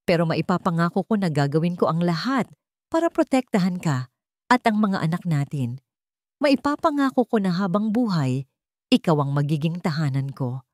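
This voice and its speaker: Woman voicing an earnest lovestruck man, animated delivery. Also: lowish voice, normal speed, no room sound, studio quality. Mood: earnest, devoted. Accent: Filipino. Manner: sincere, tender, emphatic. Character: earnest, devoted young male billionaire in love. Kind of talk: romantic audio drama.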